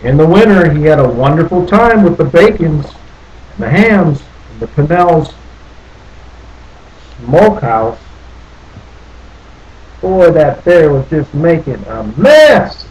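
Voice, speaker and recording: A man reading a children's picture book aloud in a folksy, playful, drawn-out way with little asides. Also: on the high side, very animated, darkish tone, slight background noise, poor audio. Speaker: storybook reader.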